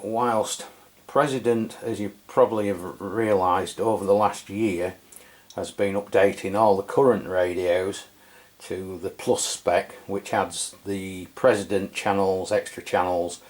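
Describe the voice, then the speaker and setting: Low-voiced man reviewing a radio. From England. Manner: relaxed and chatty, with frequent fillers and self-corrections. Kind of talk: casual product review walkthrough.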